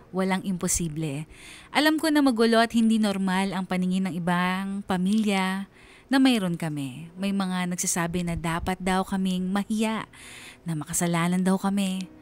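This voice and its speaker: A woman's voice: mid-range voice, lightly acted. Actress as an earnest young Filipina mother narrating. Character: young mother sincerely narrating her own life story. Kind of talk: scripted first-person radio drama narration.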